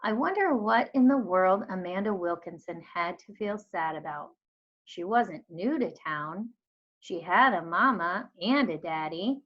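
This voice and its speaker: A woman reads a children's novel aloud in an expressive storybook style. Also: fairly low pitch, a little slow. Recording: dull lo-fi recording.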